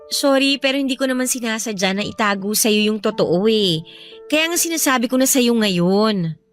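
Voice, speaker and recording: An actress playing an evasive young lover seeking forgiveness, speaking with a Filipino accent in a coaxing, apologetic way in a radio drama. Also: female voice, fairly high pitch, lively intonation, normal speed, soft background music, close to an everyday voice. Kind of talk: radio drama acting in Filipino love story.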